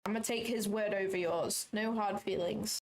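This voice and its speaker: A woman's voice: medium pitch, fairly even intonation, normal speed, low-quality recording. A woman hosting a trading livestream from her office, chatting casually, thinking aloud, second-guessing herself and swearing now and then. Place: small office.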